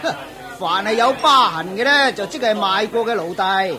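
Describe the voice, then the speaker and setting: An actor playing a brash, callous slave auctioneer in a radio play, pushy and mocking. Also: male voice, high-pitched, animated, moderate pace. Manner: pushy, mocking, sells loudly. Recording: market crowd sound effects behind. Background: crowd murmur.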